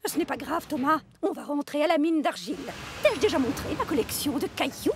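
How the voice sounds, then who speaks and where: A woman voicing a chatty, joking, excitable female steam shovel in a playful, exclamatory cartoon style. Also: sits high, a little fast, noisy background. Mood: cheerful. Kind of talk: children's cartoon dub acting.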